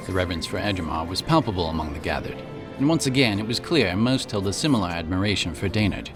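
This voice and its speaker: A man's voice: low voice, lively intonation, fast. A man reading scripted fantasy audiobook narration, with music playing behind him.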